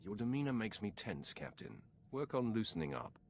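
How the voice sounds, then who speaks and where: An actor playing a cold, commanding Sith warrior lord in a video game, speaking in a curt, imperious, menacing way. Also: male voice, fairly low pitch, sing-song, moderate pace, vocal fry, a little hiss or hum. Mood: stern, imperious. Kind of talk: scripted video game dialogue.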